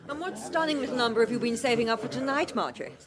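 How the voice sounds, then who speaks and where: A woman's voice: on the high side, expressive, racing, crowd noise, lightly acted. An actress playing an arch, teasing, sardonic society woman in a radio drama.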